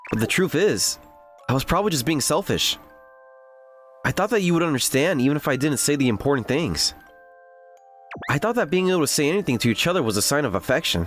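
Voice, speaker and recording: Man acting a lively, swooping young boyfriend. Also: fairly high pitch. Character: bickering but devoted young boyfriend, earnest and teasing. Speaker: voice actor in a manga dub. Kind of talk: dramatized romantic comedy dialogue and inner narration.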